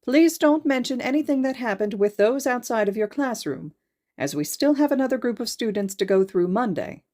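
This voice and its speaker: A woman's voice: fairly high pitch, expressive, normal speed, dark tone, voice pushed up, natural voice. A woman voicing a courteous school principal in a story, making a formal, projected announcement to students. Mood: formal, upbeat. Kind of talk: audiobook character dialogue, announcement.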